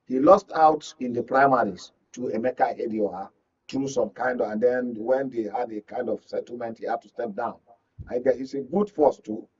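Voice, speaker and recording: A man with a Nigerian accent speaking as a media analyst in a live political discussion, phrasing his points deliberately and with emphasis. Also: mid-range voice, slightly rough, highs cut off. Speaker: media analyst, guest pundit.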